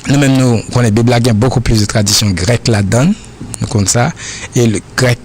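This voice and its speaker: A man's voice: medium pitch, fairly even intonation, a little hiss or hum. Earnest Haitian man answering questions. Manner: earnest, repetitive, halting phrasing.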